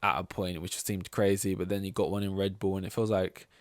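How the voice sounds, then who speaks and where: A man's voice: deep voice, little pitch movement, fairly quick, no room sound, clean studio sound. A man hosting a podcast, giving casual, opinionated sports rankings in a rambling, sweary and sarcastic way. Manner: rambling, sweary, sarcastic, acts out mock quotes. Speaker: podcast host.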